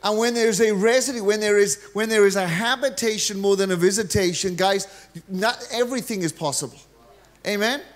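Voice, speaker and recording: A middle-aged Welsh man preaching a lively, joking sermon from a large church stage into a handheld mic. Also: high-pitched, brisk. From Wales. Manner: energetic, joking preacher, gestures freely while pacing the stage. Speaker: guest preacher.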